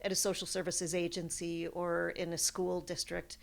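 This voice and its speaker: Creaky-voiced woman explaining like a professor. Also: lowish voice, level tone, moderate pace. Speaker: college language professor. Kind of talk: interview explanation.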